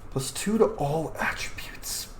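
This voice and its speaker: A man's voice: on the high side, lively intonation, a little slow, fairly bright tone. A man giving casual, off-the-cuff commentary as he records his video game playthrough, muttering to himself. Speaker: gamer recording a roleplay playthrough. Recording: roomy echo, faint background noise.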